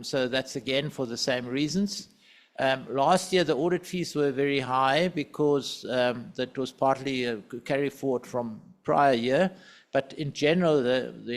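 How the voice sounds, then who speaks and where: A man giving a society's financial report at a meeting, with a loud voice and a halting delivery full of fillers. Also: mid-range voice. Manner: loose, halting, many fillers and restarts. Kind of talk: presenting at a meeting. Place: meeting hall.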